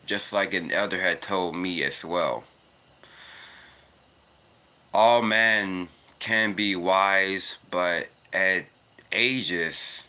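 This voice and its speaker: A man's voice: slow, sharp tone, clean tone. A man in his twenties talking casually and earnestly about faith, rambling and repeating himself while out on a walk. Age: 20s. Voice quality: naturally deep voice. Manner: rambling, earnest, repetitive "it's because". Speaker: vlogger. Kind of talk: casual spiritual monologue. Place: outdoors, walking in cold weather.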